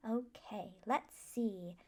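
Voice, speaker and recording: A woman playing a gentle, scholarly magic professor in an ASMR roleplay, patiently instructing a class in a measured, reassuring way. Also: mid-range voice. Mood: calm, instructive.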